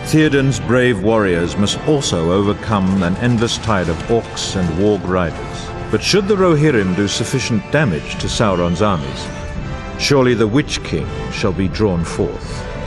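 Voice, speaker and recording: An actor playing a solemn, grave war chronicler, narrating a fantasy game in a grandiose, formal and portentous way. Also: male voice, fairly low pitch, big pitch swings, measured pace. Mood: grave, stirring. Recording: orchestral music bed under voice. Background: music.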